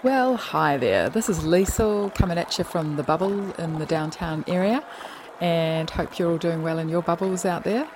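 A woman's voice: lowish voice, animated, moderate pace, noisy background. A woman, rambling playfully in a casual monologue and laughing often. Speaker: lockdown diarist.

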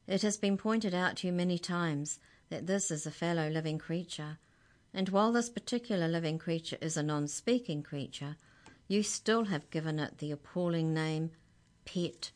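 A woman voicing a talking tooth who is a stern judge, grave, formal and measured. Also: close to an everyday voice. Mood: grave, reproving. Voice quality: deep.

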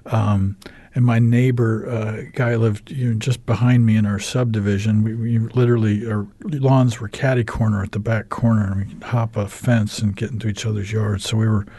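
A man in his fifties with an American accent, telling his story with plenty of ums and uhs and a dry, wry humor. His voice is mellow and a little dark. It sounds close and dry on a studio microphone. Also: on the low side, level tone, moderate pace, breathy edge, no echo. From United States. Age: 50s. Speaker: radio show guest. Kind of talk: candid storytelling interview.

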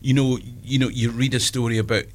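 Scottish man, 50s, stand-up comic guest; rambling, self-mocking, mid-pitched. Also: little pitch movement, fairly quick, a little mellow. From Scotland. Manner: chatty, self-deprecating, many restarts and asides. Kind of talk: casual interview chat.

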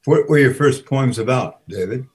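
A man's voice: medium pitch, normal speed, darkish tone, slightly rough. A man interviewing a guest on community radio, asking short, plain questions from some distance from the mic.

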